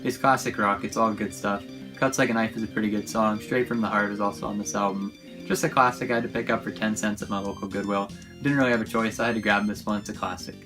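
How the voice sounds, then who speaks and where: A man's voice: mid-range voice, a bit bright. A man hosting a casual show-and-tell vlog in an informal, enthusiastic way. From Canada. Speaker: YouTube host.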